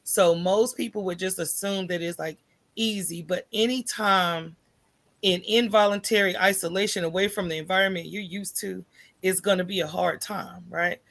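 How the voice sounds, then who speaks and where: A woman's voice: fairly low pitch, moderate pace. An American woman talking candidly as a podcast guest, acting out remembered dialogue and checking in with her listener as she goes. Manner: animated, acts out remembered dialogue, often asks "right?". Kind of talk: candid conversational storytelling.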